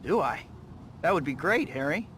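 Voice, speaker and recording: An actor playing an earnest, wry young American student who is secretly a superhero, in scripted game cutscenes. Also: male voice, on the high side, expressive, moderate pace, a bit bright, a little hiss or hum. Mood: friendly, awkward. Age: young adult. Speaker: hero and narrator. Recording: music behind the voice.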